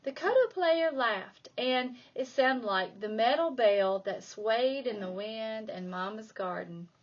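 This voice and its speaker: A woman reading a children's storybook aloud like a primary school reader, with dramatic emphasis and drawn-out key words. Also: a little high, lo-fi sound. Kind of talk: expressive children's storybook narration.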